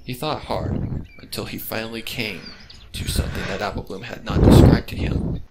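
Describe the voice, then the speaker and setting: A man's voice: fairly low pitch, fairly even intonation, normal speed. A man reading fan fiction aloud as a scripted narrator. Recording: heavy background noise with birdsong.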